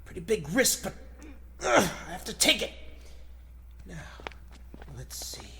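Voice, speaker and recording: A man's voice: high-pitched, big pitch swings, a little slow, gravelly, pushed and bright, full character voice. A man playing an earnest, heroic reporter with a secret superhero life, thinking aloud in declamatory 1940s radio style. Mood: furtive, frustrated. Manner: declamatory, dramatic.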